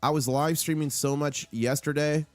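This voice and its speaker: A man with a hoarse voice hosting a live market stream, urgent and hyped, repeating key figures for emphasis. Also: on the high side, steady tone, normal speed, dry recording. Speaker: livestream host on silver markets. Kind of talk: excited live market commentary.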